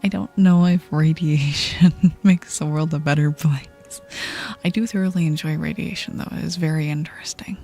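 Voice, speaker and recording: A woman with a deep voice streaming ASMR, soft-spoken and whispering, giggly and playful as she chats. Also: fairly even intonation, normal speed, soft background music.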